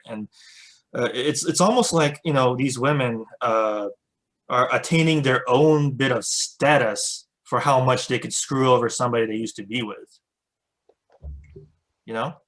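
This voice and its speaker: A man hosting a relaxed interview, his voice at an ordinary middle pitch. He speaks haltingly and leans on fillers as he searches for what to say. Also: low-quality recording. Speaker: host. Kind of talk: casual interview conversation.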